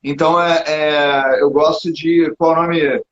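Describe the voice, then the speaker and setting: A Brazilian man hosting a casual live chat, rambling and self-mocking, laughing often, a little hoarse. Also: fairly high pitch, steady tone, unhurried, fairly bright tone. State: slightly hoarse. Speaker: podcast host. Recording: dull lo-fi sound.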